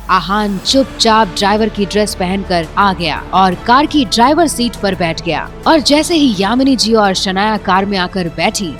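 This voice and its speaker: A woman narrating a scripted audio drama in a suspenseful storytelling manner. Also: mid-range voice.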